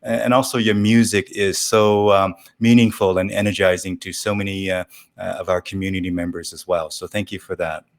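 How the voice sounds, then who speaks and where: A man hosting an interview show, speaking in a halting, measured way as he addresses his guest. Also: deep voice, little pitch movement. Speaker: talk show host.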